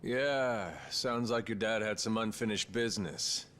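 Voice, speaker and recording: A man's voice: on the low side, unhurried, fairly bright tone, a little hiss or hum. An actor, a man, playing a brash, wild yakuza veteran in a video game cutscene. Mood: cocky. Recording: music behind the voice.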